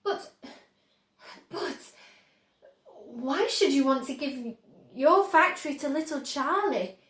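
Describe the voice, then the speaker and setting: Woman playing a flustered, high-pitched old grandpa. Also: animated, takes it slowly, fairly bright tone, echoey room, heavily acted. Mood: astonished. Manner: stammering, incredulous, emphatic. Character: astonished, flustered elderly grandfather.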